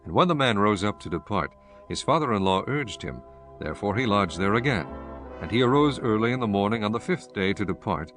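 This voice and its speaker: Low-voiced man narrating scripture. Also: lively intonation, fairly quick, music in the background.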